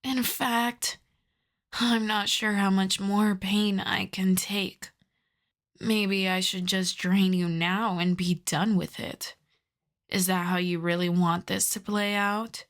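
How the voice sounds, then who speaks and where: A woman voicing a lovesick, possessive female vampire in an intimate ASMR roleplay, hushed and often slipping into whispers. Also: mid-range voice, flat delivery, slow. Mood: wounded, menacing. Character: lovesick female vampire, sorrowful, pleading, possessive, tenderly menacing.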